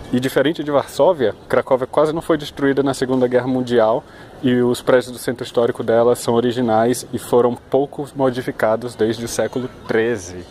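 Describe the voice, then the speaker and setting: A man with a Brazilian Portuguese accent, narrating his travel vlog with quick enthusiasm. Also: mid-range voice, a little mellow, slight background noise. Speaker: travel vlogger.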